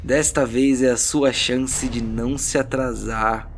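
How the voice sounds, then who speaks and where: A man runs a tabletop role-playing session as its game master. His voice is medium in pitch and he narrates with dramatic storytelling flair. Soft music plays underneath him. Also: steady tone, slow.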